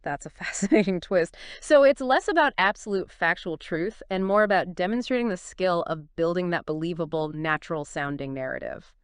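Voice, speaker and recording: A woman's voice: lowish voice, animated, normal speed, hardly any pauses, clear, resonant tone, no room sound, clean studio sound. A woman co-hosting a conversational explainer podcast, engaged and emphatic, with punchy phrasing. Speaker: co-host of an explainer discussion.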